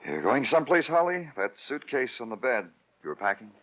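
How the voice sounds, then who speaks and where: An actor playing a world-weary American police detective, clipped and dry, in an old radio crime drama. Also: male voice, mid-range voice, very animated, fairly quick, a bit bright. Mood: quiet, probing. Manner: clipped, dry, hard-boiled. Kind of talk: 1950s radio crime drama acting, noir narration. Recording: dull old radio recording.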